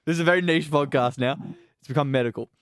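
A man's voice: a little high, quick pace, a bit bright, dry recording, clean studio sound. Chatty man who laughs a lot. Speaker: podcast co-host. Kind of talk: casual podcast chat.

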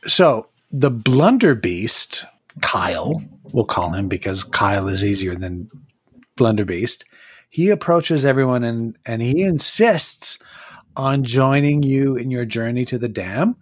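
Game master for a tabletop campaign: descriptive, slow-paced, searching for words, recorded dry. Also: medium pitch, animated, breathy edge, dry recording. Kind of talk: narrating a tabletop role-playing game.

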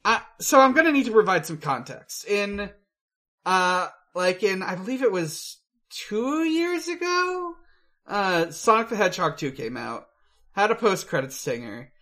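A podcast host in casual film review chat, with a halting, rambling delivery. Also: mid-range voice, very animated, sharp tone.

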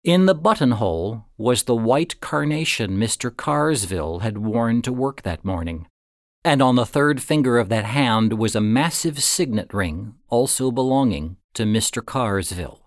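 A man narrating a story in a deliberate, dramatic way, with expressive phrasing and suspenseful pauses. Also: medium pitch, dry recording, clean studio sound. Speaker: audiobook narrator.

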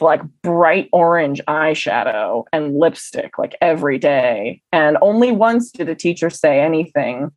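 A young woman telling stories in a casual interview, chatty and animated, with lots of "um" and "like". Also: sits low, dry recording. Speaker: interview guest. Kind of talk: casual conversational storytelling.

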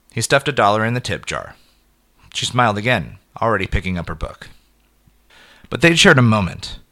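A man narrating a scripted short story in an expressive, measured way with clipped phrases. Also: fairly low pitch.

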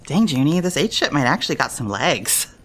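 A woman's voice: deep voice, very animated, fairly quick, slightly rough, exaggerated voice. A woman playing a brash, affectionate party-girl best friend in a fan audio drama, with loose slangy talk and plenty of swearing. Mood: teasing, gruff.

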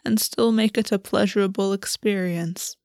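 A woman gives voice to a brooding prince from a fantasy romance audiobook, who speaks in a slow, hushed, measured way with a cold edge. The tone is mellow and dark, and the room sounds close and dry. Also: medium pitch, fairly even intonation, no room sound, close to an everyday voice. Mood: grave.

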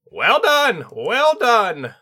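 An ancient bird-spirit sword master speaks in a sharp, piercing squawk, pitched far above an ordinary man's voice, as a male storyteller puts it on. He lifts his questions in a sing-song "yes?" and sounds loud and insistent. It is a cryptic, otherworldly teacher from an audiobook. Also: wildly swooping pitch, very slow, heavily acted.

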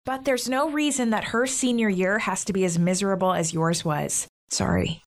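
An actress playing a caring, indulgent young big sister in a modern audio drama, conciliatory and gently teasing. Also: female voice, medium pitch, normal speed, lightly acted. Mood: gentle, earnest.

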